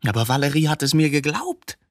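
Embittered middle-aged suspect, dry sound. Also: male voice, a little high, sing-song, a little fast, no room sound. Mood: bitter, confessional. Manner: brooding, agitated, rhetorical. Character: embittered 47-year-old male court reporter, murder suspect. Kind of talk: German radio drama acting.

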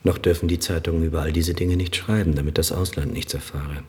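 Grave armenian priest, super deep voice, solemn n measured, radio play, echoing hall. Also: male voice, steady tone. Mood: grave, cautious. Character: grave, resigned, cautious Armenian village high priest. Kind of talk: German radio drama acting.

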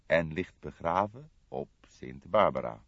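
A man voicing a calm, cynical veteran police detective in a crime audiobook, measured and gently mocking. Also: very deep voice, vocal fry, no echo, highs cut off, full character voice. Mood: calm, official. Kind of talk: dramatised audiobook character reading.

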